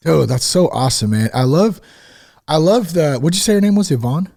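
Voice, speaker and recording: A man's voice: on the high side, expressive, a little fast, gravelly, a little mellow. A man hosting a podcast, chatting casually in an informal, slangy way with enthusiastic, affirming responses. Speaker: podcast host. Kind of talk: casual interview chat.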